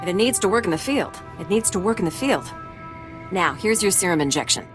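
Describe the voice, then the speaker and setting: A woman acting a brisk, dry-witted medical researcher in a video game, wry and matter-of-fact. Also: fairly low pitch, lively intonation, over music, lightly acted. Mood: wry, resolute.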